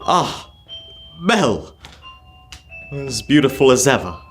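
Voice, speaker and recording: A man playing a wistful, easily moved old miser in a radio play, halting and exclamatory. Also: mid-range voice, sing-song. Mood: tender, regretful. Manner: halting, exclamatory, stammering with emotion. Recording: music under the voice. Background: music.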